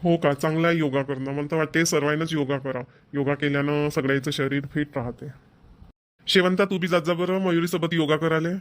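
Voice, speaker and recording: A man playing a kindly older father-in-law in a family cartoon, gently advising, with a Vidarbha Marathi accent. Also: on the high side, level tone, fairly quick, close to an everyday voice. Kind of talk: amateur family cartoon voice acting.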